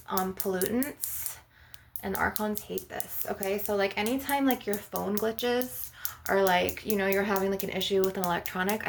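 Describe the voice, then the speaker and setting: A woman's voice: medium pitch, vocal fry, a little hiss or hum. A woman speaking as an ASMR energy healer in an informal, rambling spiritual session, with a blunt, assertive, emphatic way of talking.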